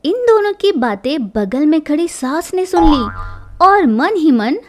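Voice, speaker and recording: A woman's voice: high-pitched, big pitch swings, slow, faint music behind. A woman narrating a scripted family story as a storyteller.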